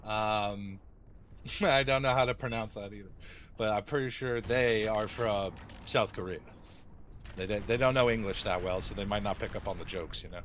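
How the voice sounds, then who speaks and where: A man's voice: lowish voice, moderate pace, fairly bright tone, slight background noise. A man casually chatting on a gaming livestream, rambling and swearing with lots of fillers. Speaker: gaming streamer.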